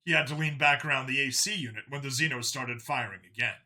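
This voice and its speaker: Bright, forceful male story narrator. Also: medium pitch, fast. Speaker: audiobook narrator. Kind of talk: dramatic scripted narration.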